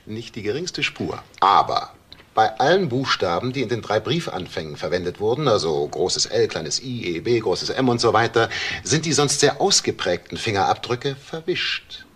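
An actor playing a precise, formal forensic police expert reporting findings in a crime drama. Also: male voice, on the low side, expressive, moderate pace, a bit bright, husky. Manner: formal, exact. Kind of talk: German TV crime drama acting.

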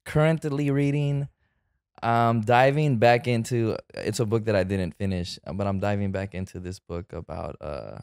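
A man in his thirties from the San Francisco Bay Area, chatting as a guest actor in a relaxed interview, full of fillers and restarts. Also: fairly low pitch, moderate pace, vocal fry, clean tone, dry recording, clean studio sound. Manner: hesitant, many fillers and restarts. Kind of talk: casual interview chat. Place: living room with sofa. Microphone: studio mic on arm.

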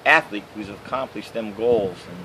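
A middle-aged man with a Michigan accent, answering interview questions outdoors in a halting way with many fillers. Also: lowish voice, pushed and bright, a little hiss or hum, poor audio. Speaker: interviewee, canoe racer. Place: outdoors by trees and a house.

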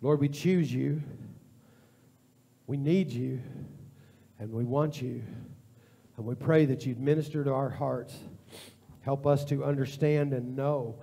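A man preaching a sermon as a pastor, with informal, repetitive phrasing. He builds to an impassioned near-shout and later drops to a quiet prayer. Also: mid-range voice, fairly even intonation, slow pace, creaky, long pauses, husky. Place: church sanctuary.

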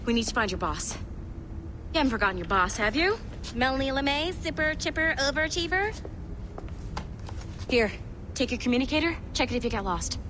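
A sarcastic security contractor. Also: female voice, sits high, background noise. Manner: wry, blunt, bossy. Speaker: game character.

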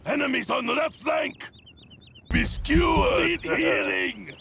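An actor playing a boisterous, bloodthirsty oni demon warrior for a video game, with a raspy, theatrical, menacing delivery. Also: male voice, very high-pitched, slow, pushed and bright, slight background noise, full character voice. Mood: urgent commands. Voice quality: raspy, gravelly. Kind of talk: video game character voice lines.

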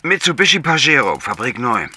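A very sharp, forceful voice for a male country policeman in a crime radio play. He explains chattily and matter-of-factly, his pitch swinging widely, with faint noise behind. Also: big pitch swings, fairly quick. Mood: eager, informative. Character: talkative, matter-of-fact male village policeman. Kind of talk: radio play phone dialogue.

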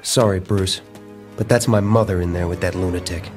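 A man's voice: low-pitched, steady tone, normal speed, a little mellow, faint music behind, natural voice. An actor playing an impulsive, earnest teenage boy hero in a comic-book drama, speaking urgently and defiantly. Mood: determined.

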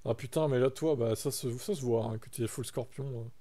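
A man's voice: mid-range voice, moderate pace, mellow. A young man from France chatting casually on a live stream, reacting to his chat and reading text aloud.

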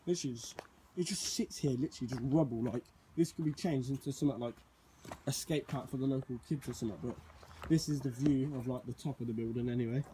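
A man's voice: mid-range voice, fast, mellow, slight background noise, low-quality recording. A young man rambles casually as he explores overgrown woods, filmed on a handheld phone outdoors. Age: young adult. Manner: rambling, says "literally" a lot. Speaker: urban explorer vlogger. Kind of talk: casual walking vlog. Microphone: handheld phone camera.

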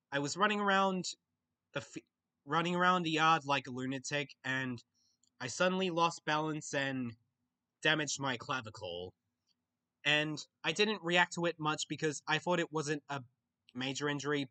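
A young Australian man reads out viewer questions and answers them off the cuff on a webcam in a small bedroom. His voice is bright and fairly high, and his pitch swings up and down a lot. He often stops, restarts and thinks aloud. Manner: halting, with frequent restarts and pauses to think. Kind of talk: casual Q&A chat.

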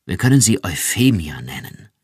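A man voicing a cautious husband who speaks carefully and quietly pleads. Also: low-pitched, big pitch swings, husky, no echo, studio quality, exaggerated voice. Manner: careful, measured.

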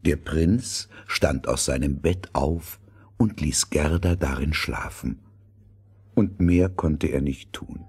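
A man narrating a fairy tale from a script as a storyteller, with a gravelly, husky voice. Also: very deep voice, slow pace, darkish tone.

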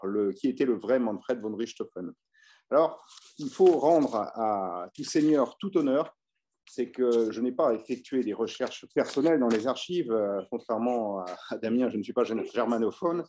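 A man gives an evening history lecture, speaking off the cuff in a mellow, darker voice. He hesitates a lot, filling pauses and restarting phrases as he explains. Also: mid-range voice, slight background noise. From France. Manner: hesitant, many filler sounds and restarts. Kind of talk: unscripted illustrated history talk.

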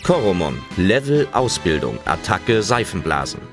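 A man's voice: low-pitched, big pitch swings, normal speed, music in the background. A man narrating a German children's audio drama as a dramatic scripted storyteller.

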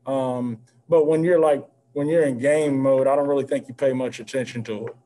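A man in his thirties, a head basketball coach, answering postgame questions on a video call in an earnest, measured way with many fillers. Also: mid-range voice, fairly even intonation, warm tone. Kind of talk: postgame press conference answers. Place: indoor press backdrop room. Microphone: video call, seated at desk with mask.

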